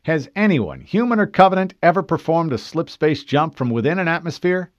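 A man's voice: a little high, big pitch swings, brisk, dry recording, highs cut off, voice pushed up. A man voicing an officious, assertive male navy lieutenant in an audiobook, speaking formally and insistently. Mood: commanding, keen. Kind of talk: audiobook character voice.

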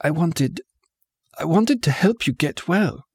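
A man's voice: mid-range voice, fairly quick, no echo, studio quality, pitched above the performer's own voice, lightly acted. A man voicing a fragile, eager young woman. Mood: tearful. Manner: soft, pleading, rising to tearful.

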